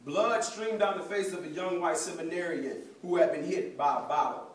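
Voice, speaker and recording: A formal reporter gives a factual account read by a young man on stage. His voice is high for a man and comes from a distance in an echoey room. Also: normal speed, natural voice. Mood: grave reporting. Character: formal reporter recounting a battered march. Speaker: student narrator. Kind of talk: formal factual report within dramatic reading.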